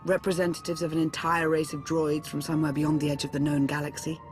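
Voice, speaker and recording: An actress playing a measured, analytical advisor in a video game, composed and formal. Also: female voice, low voice, level tone, darkish tone, soft background music, natural voice. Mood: grave explaining.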